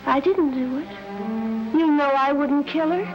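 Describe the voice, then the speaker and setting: A woman's voice: high-pitched, a little slow, muffled recording. An actress playing a frightened, defensive woman who pleads hesitantly in an old-time radio drama. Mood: pleading. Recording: band-limited old radio recording with music.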